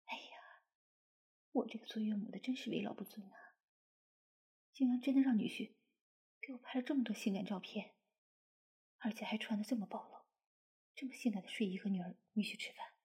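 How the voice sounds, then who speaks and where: A woman's voice: medium pitch, steady tone, a little fast, pauses often, mellow, close to an everyday voice. A woman voicing a bashful middle-aged mother-in-law who talks to herself in a flustered, whispery way. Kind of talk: intimate audio roleplay.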